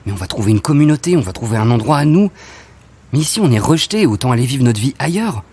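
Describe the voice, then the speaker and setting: A man's voice: medium pitch, sing-song, fast talker, a little mellow, breathy edge. A man playing a fed-up but hopeful athlete in a French audio drama, colloquial and insistent. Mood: fed up, pleading. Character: Raph, fed-up, hopeful athlete dreaming of escape. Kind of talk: naturalistic French audio fiction acting.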